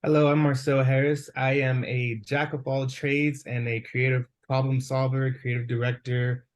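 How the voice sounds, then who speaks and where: A man with a mid-range voice introduces himself in an upbeat, self-assured way. His delivery is casual and brisk. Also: medium pitch, level tone. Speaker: guest, creative director.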